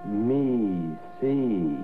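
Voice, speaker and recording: Very slow, low, menacing fake dolphin. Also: male voice, lively intonation. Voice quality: electronically distorted speech. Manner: halting, isolated words. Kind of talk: 1960s radio acting. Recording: narrow lo-fi old radio, music behind.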